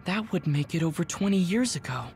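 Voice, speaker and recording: An actor playing an earnest, thoughtful young soldier in a video game, explaining things in a measured way. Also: male voice, high-pitched, animated, darkish tone, faint music behind.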